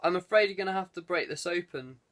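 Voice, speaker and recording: A man voicing a practical, well-read female adventurer in a measured, matter-of-fact audiobook reading. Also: high voice, fairly bright tone.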